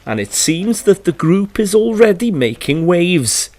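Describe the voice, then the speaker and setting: A man's voice: high voice, big pitch swings, unhurried, a little mellow. A man narrating a scripted local news feature as the reporter.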